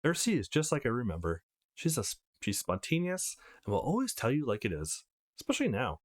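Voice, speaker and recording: Man reading aloud with very animated pitch. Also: fairly quick, no room sound, studio quality. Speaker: let's play host. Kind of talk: reading a visual novel aloud with side comments.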